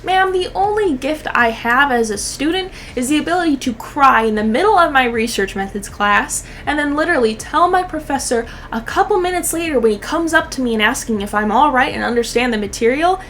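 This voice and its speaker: A young woman with a high voice delivers casual, comedic reaction commentary. She is sarcastic and sweary, with expressive delivery over clearly audible background noise. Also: brisk, hardly any pauses. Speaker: host of a video commentary channel.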